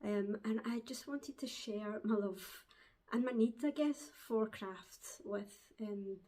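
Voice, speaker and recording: Glaswegian woman host, casual and hesitant, mid-pitched, laughs easily between thoughts. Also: slow pace, warm tone. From Glasgow, Scotland. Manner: chatty, hesitant with frequent ums, laughs often. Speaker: crafting podcast host. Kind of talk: casual chat to camera. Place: at home, seated with a dog on her lap.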